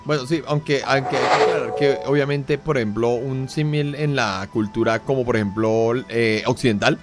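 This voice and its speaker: A man hosting a talk show, clarifying points at length with restarts and fillers. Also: mid-range voice. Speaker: host.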